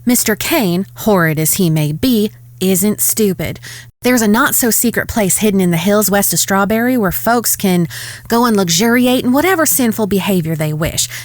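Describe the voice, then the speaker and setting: A composed, sharp-tongued frontier woman played by an actress in a western audio drama. Her voice is mid-pitched, stern and guarded, recorded very dry. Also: expressive, normal speed, no room sound, lightly acted. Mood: grave, scornful.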